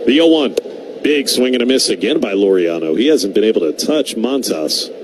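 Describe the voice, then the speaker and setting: An American man calling live baseball play-by-play on the radio and reading sponsor spots between pitches. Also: lively intonation, normal speed. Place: ballpark broadcast booth. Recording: noisy live ballpark radio broadcast.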